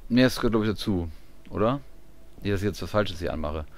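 A man's voice: low voice, animated, quick pace. A man giving casual let's-play commentary, laid-back and muttering to himself. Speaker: gaming video player.

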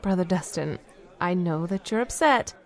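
An actress playing a concerned, sympathetic woman in an audio drama, gentle in manner. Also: on the low side, expressive, moderate pace, some chatter behind. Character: concerned, sympathetic female confidante.

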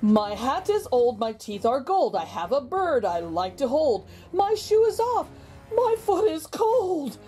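A woman reading a picture book aloud to young children, with expressive, exaggerated intonation and drawn-out words. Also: high-pitched. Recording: background music under the voice. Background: music.

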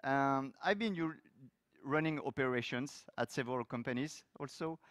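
A middle-aged man from France with a French accent, giving a technical talk on a conference stage with loose, lively phrasing and many fillers. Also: medium pitch, moderate pace, no echo. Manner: loose, lively delivery with frequent fillers and restarts. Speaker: conference speaker. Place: large conference hall stage.

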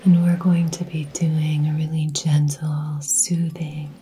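A woman softly whispering a soothing ASMR Reiki session, speaking gently and personally to the listener as a healer. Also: deep voice, level tone, slow, slight background noise. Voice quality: breathy whisper. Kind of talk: soft-spoken ASMR healing session.

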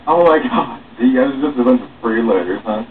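A young man reads a script aloud, acting out every part with lively, swinging pitch and a slightly halting delivery. He sits away from the microphone, and the sound is thin and phone-like with some background noise. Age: young adult. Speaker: author reading own script aloud. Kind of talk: dramatic reading of dialogue, playing all parts. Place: small room at home, seated. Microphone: far camera or webcam microphone. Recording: muffled phone-like webcam sound, distant, noisy.

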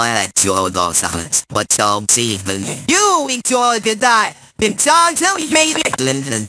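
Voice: medium pitch, bright, forceful tone. An AI-converted cartoon character voice rapping game dialogue in an exaggerated, singsong delivery.

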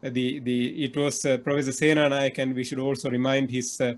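A man's voice: mid-range voice, little pitch movement, fairly quick. Sri man interviewing over video call. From Sri Lanka. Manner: earnest, many fillers and restarts. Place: indoor room.

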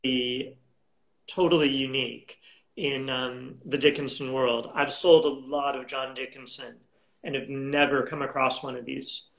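A man in his thirties talking as a guest in a video call interview, unhurried and halting with many fillers and restarts. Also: medium pitch, fairly even intonation, creaky, fairly bright tone, poor audio. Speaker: auction house specialist, guest. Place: home room indoors. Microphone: video call webcam.

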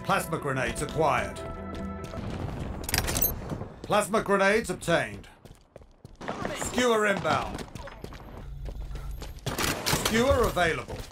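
An actor playing a polite, dry-witted butler of an AI assistant in a video game. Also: male voice, fairly high pitch, big pitch swings, slow, pauses often. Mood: composed. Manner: polite, dry, droll understatement. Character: male AI combat assistant, refined dry butler. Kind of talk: video game announcer lines. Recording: loud game music and gunfire behind. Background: loud music, gunfire.

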